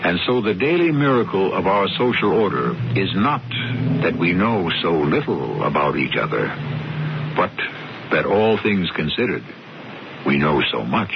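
A male host giving measured, reflective narration between scenes of a radio drama. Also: low voice, very animated, noisy background. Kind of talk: scripted narration. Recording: muffled old radio broadcast.

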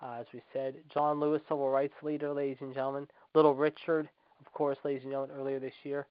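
Man in his forties, radio host, rapid delivery, repetitive stock phrases, announcer-like, dull dry audio. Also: medium pitch, flat delivery, clean tone, no room sound. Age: 40s. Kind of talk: casual radio show hosting.

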